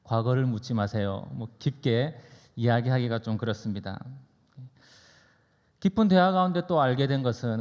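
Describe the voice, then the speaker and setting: A man preaching as a pastor in a conversational, anecdotal sermon style, deliberate and pausing between phrases. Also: fairly low pitch, creaky, a little mellow. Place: church sanctuary during a service. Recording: reverberant hall, long echo.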